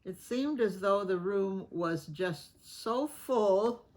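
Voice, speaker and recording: A woman reading a picture book aloud to children, gently chatting and stopping to ask the listener questions. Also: mid-range voice, expressive, takes it slowly. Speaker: storybook reader.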